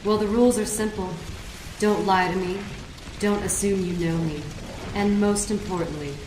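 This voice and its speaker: A woman's voice: medium pitch, fairly even intonation, normal speed, lightly acted. Tough, sassy noir dame played by a woman, echoey. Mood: sardonic. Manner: sardonic, unflappable. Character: tough, sassy, cool noir lounge-singer gunslinger dame. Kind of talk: noir audio drama acting. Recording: echoey, noisy track with rain.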